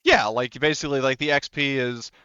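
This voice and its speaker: A man's voice: mid-range voice, steady tone, fairly quick, pushed and bright, clean tone, dry recording. A man chatting casually as game master after a tabletop game session, informal and freely swearing, on a slightly dull mic.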